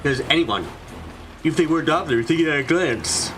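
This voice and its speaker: A man's voice: on the high side, normal speed, fairly bright tone, slight background noise. A man reading dramatic scripted narration for a visual novel fan dub, now and then stumbling over the lines. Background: background music.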